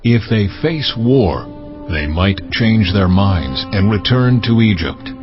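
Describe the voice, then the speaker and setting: A solemn male deity in an audio scripture drama. The voice is low, deliberate and commanding, issuing laws with gravity. The sound is slightly dull, with music clearly playing underneath. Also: animated.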